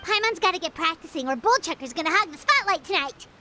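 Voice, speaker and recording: A woman voicing a small, childlike, excitable fairy companion in a video game, squeaky and exclamatory, talking about herself by name. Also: fast, slight background noise, heavily acted. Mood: curious, skeptical. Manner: refers to self by name, exclamatory. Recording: music behind the voice.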